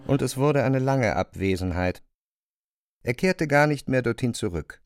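An actor playing a sober narrator of a family's decline, measured and matter-of-fact in a literary radio drama. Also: male voice, medium pitch, expressive, no echo, studio quality.

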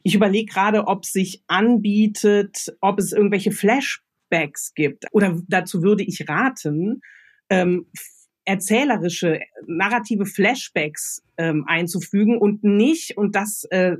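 A woman's voice: medium pitch, measured pace. A woman with a German accent thinks aloud as a radio play author, giving casual creative advice with examples.

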